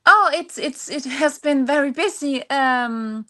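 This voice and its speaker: A woman from Denmark with a Danish accent, answering personal interview questions in halting English as she searches for words. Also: high-pitched, animated, pushed and bright. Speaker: singer-songwriter guest.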